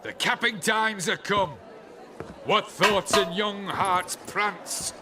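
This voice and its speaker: An actor in a video game playing a theatrical master of ceremonies, declaiming in rhyme at a folk wedding. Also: male voice, sits high, takes it slowly, heavy background noise. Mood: ceremonial.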